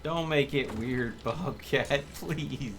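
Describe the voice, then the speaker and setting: A man's voice: medium pitch, slow, fairly bright tone. A man hosting a multiplayer game stream with casual live commentary. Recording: faint background noise.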